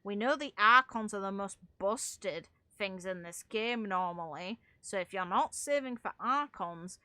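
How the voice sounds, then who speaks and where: Bright-voiced young woman, blunt. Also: medium pitch, expressive, unhurried, no echo. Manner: blunt, swearing freely. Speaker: gaming content creator. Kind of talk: casual opinionated game commentary.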